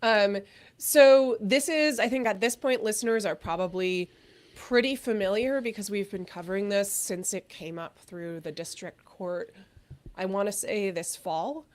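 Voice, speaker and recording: A young woman with a hoarse voice from a cold, giving a thoughtful legal analysis on a live stream, with many pauses and fillers. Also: medium pitch. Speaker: legal commentator.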